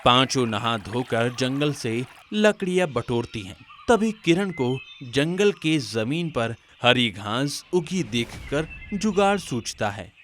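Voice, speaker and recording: A male storyteller narrates a cartoon family tale from a script. His voice sits at a middle pitch, with faint noise and clicks in the recording. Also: very animated, slow pace, few pauses, a bit bright.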